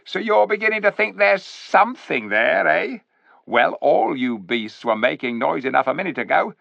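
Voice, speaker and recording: A man's voice: a little high, very animated, normal speed, gravelly, fairly bright tone, no echo, pitched above the performer's own voice, exaggerated voice. A man voicing a sly, bossy male ape who mocks and jeers at the crowd in a storybook reading. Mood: jeering. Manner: mocking, jeering, cackling. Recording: dull lo-fi recording.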